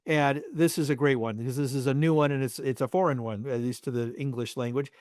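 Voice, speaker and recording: A man's voice: mid-range voice, fairly even intonation, fast talker, darkish tone, dry recording, clean studio sound. A man hosting an interview podcast, conversational and effusively appreciative as he encourages his guest and poses questions. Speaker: podcast host.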